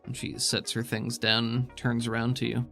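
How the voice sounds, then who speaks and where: A man's voice: mid-range voice, monotone, moderate pace, vocal fry, sharp tone, soft background music. A man working as game master, narrating an improvised tabletop game in a descriptive, conversational way.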